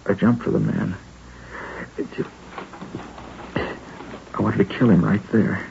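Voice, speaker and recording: Muffled old radio sound with some noise frames a wounded American schoolteacher in his thirties. His low voice is gravelly and weary, and he speaks haltingly, in pain and bitterly shaken. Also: fairly even intonation, a little mellow, heavily acted. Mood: bitter, grieving. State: pained, weary. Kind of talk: 1950s radio drama acting. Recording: muffled vintage radio recording, background noise.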